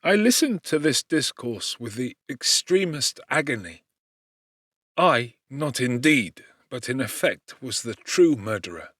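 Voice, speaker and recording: A man's voice: mid-range voice, sing-song, a bit bright, no echo. Man reading a classic novel, dry close sound. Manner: measured, intense, formal reading. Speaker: audiobook narrator. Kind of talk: scripted first-person literary narration.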